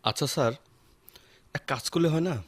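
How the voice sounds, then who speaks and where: A man's voice: fairly high pitch, a little fast, husky. A man acting a deferential, helpful office subordinate in a Bengali radio drama, with faint noise behind him. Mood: suggesting.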